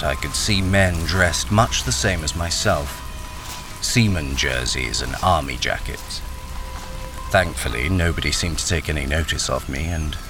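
A low-voiced, weary ex-soldier and miner tells his story in a radio crime drama. His delivery is wary and moves freely up and down in pitch. Music and rain sit behind him. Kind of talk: radio crime drama, first-person narration and dialogue.

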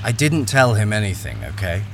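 Deep-voiced, guarded miner played by a man. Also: big pitch swings, moderate pace, fairly bright tone, slight background noise. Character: wary, experienced male miner, blackmailed, narrating his ordeal. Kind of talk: radio crime thriller acting and first-person narration.

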